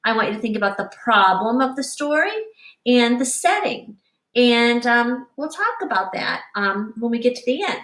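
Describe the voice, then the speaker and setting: A woman teacher reading a picture book aloud to young children in an expressive, instructive way, pausing to explain and addressing them directly. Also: fairly high pitch. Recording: roomy, echoing, distant microphone.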